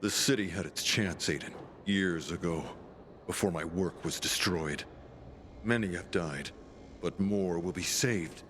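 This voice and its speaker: An actor playing an older scientist in a video game, a villain with a fatherly side, speaking in a measured, grave way. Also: low voice, a little hiss or hum. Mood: grave, weary. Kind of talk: dramatic video game cutscene acting. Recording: loud music under the dialogue.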